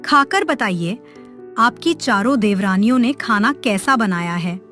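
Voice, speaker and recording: A woman's voice: mid-range voice, lively intonation, unhurried, bright, forceful tone, soft background music. A woman playing a caring young sister-in-law, sweet and earnest, in a melodramatic moral story.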